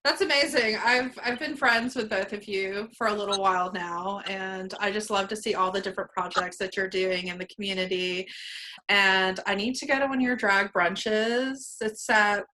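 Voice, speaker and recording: A woman hosting a casual interview, warm and enthusiastic, laughing often with her guests. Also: mid-range voice, steady tone, moderate pace, slight background noise, low-quality recording.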